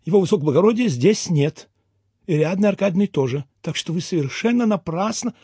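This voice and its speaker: A timid young clerk, voiced by a male narrator, speaks earnestly and deferentially in a fairly high, lively voice. His nervous politeness marks him as a junior official in an audiobook. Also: fairly quick, husky, dry recording, full character voice. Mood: formal, nervous.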